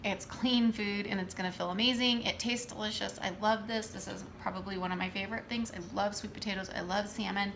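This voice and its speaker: A woman in her thirties casually showing her meal prep at home, talking in an unhurried way with many fillers. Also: medium pitch, steady tone, creaky, a bit bright. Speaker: fitness coach vlogger. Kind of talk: casual how-to vlog. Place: home kitchen, indoors. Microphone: camera on the counter. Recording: echoey kitchen with room noise.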